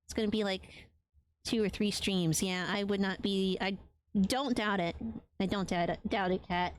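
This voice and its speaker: A woman's voice: mid-range voice, fairly even intonation, moderate pace, dry recording. A woman from Latin America who streams games, chatting casually and affectionately with her live viewers, with frequent ums and a voice tired after a long stream. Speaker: game streamer.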